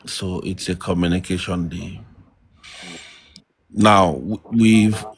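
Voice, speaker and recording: A middle-aged man, a guest elder giving measured marriage advice over a distant remote call line. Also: low-pitched, steady tone, slight background noise. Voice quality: loud voice. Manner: slow, measured advice with pauses.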